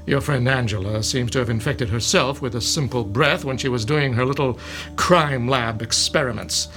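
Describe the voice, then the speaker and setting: A man's voice: medium pitch, fairly bright tone, soft background music, natural voice. Man as a smug, mock-polite horror villain. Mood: smug gloating. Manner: genteel, gloating, unhurried politeness. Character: smug, mock-polite male mad villain spreading spores. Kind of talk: horror radio drama acting.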